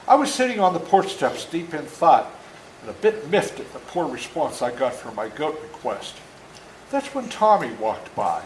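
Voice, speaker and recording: An older man tells a story to schoolchildren in a slightly high, very expressive voice that swings up and down. He uses dramatic emphasis and plain, folksy asides to explain words. The room is echoey, with faint noise behind him. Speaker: author reading his own book to schoolchildren. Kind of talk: storytelling read-aloud with asides to children.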